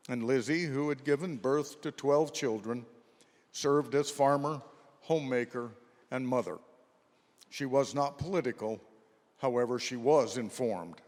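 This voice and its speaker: A man narrating history from a script for a staged reading, with formal, deliberate phrasing, in a reverberant hall. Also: mid-range voice. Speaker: narrator of a readers theater. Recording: echoey hall with long reverb.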